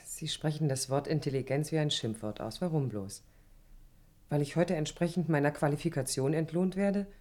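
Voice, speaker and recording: A woman's voice: deep voice, normal speed, warm tone, close to an everyday voice. An actress playing a proud, idealistic young woman architect in a radio drama, articulate, combative and ironic. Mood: brisk, challenging. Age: young adult. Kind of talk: radio drama acting with interior monologue. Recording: 1980s radio play with background noise.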